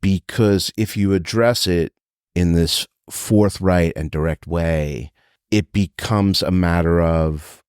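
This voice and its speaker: A man's voice: deep voice, takes it slowly, darkish tone, clear, resonant tone, dry recording, studio quality. A man in his fifties hosting a casual interview, joking and self-mocking, laughing often. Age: 50s. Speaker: podcast host.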